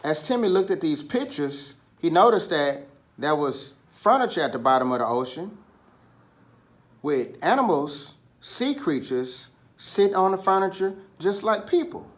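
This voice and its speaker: A man telling a children's story in an animated style, with dramatic pauses and drawn-out, emphatic words. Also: a little high. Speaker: storybook reader.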